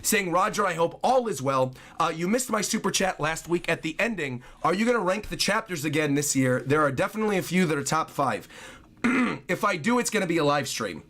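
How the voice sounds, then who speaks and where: A man chats casually on a livestream, his voice hoarse and scratchy from overuse. He sits fairly high for a male voice, thanks viewers one after another and keeps apologizing. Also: lively intonation, fairly quick, a bit bright. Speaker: streamer reading viewer donations.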